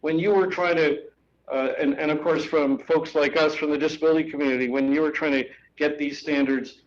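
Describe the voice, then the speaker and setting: A man's voice: on the high side, fairly even intonation, a little fast. A man moderating a live panel discussion, introducing a speaker with many fillers and restarts. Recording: dull muffled live-stream audio with faint noise.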